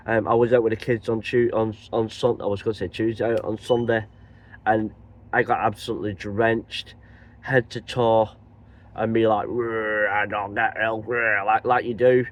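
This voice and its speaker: A man with a cold and a croaky voice chats rambling and casually in his truck cab as he drives. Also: fairly low pitch. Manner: rambling, chatty, many restarts. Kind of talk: casual vlog chat while driving.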